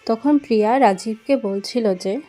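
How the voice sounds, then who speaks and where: A woman's voice: on the high side, unhurried, mellow, faint music behind. A woman narrating a children's cartoon story as a storyteller.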